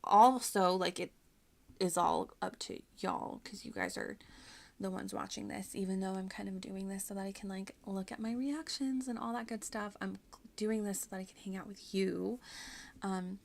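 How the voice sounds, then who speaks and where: A woman's voice: medium pitch, steady tone, moderate pace, vocal fry. A woman streaming a video game with casual, rambling, self-deprecating and giggly chat. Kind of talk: casual livestream chat over gameplay.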